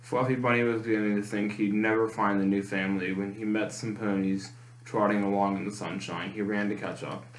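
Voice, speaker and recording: Man, lowish voice, picture book read aloud, roomy echo. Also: fairly even intonation, a little fast. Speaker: storytime reader. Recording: echoey room with clear background noise.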